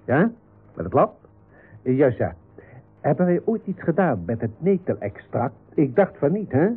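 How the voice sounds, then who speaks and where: An actor playing a curt, scheming company director in a radio comedy, giving clipped orders in a husky voice. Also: male voice, fairly high pitch, sing-song, full character voice. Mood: bossy, brisk. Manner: clipped, bossy, brisk orders. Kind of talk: radio comedy serial acting. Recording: tinny narrow-band radio recording.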